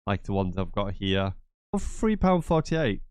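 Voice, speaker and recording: A man's voice: on the low side, very animated, measured pace, a little mellow, smooth, dry recording, studio quality. Stuffy-nosed man, chatty tutorial. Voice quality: nasal. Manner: chatty, repetitive, rambling asides. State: congested from a cold. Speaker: gaming channel host. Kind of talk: casual screen-share tutorial.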